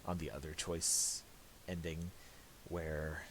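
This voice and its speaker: A man narrating a casual software tutorial, thinking aloud in a halting way. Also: a bass voice, level tone, rough recording. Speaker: tutorial presenter. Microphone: voice-over for a screen recording. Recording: hissy amateur voice-over.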